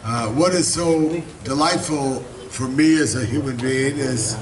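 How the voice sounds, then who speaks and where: An older man with a raspy voice giving an effusive, rambling speech at an outdoor evening party. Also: mid-range voice, measured pace. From Los Angeles, United States. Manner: warm, effusive, rambling with repeats. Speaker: guest speaker at a community reception. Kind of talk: informal public speech. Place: outdoor garden party at night. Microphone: handheld mic on a stand, filmed from afar. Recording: distant camera audio, crowd chatter. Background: crowd of guests.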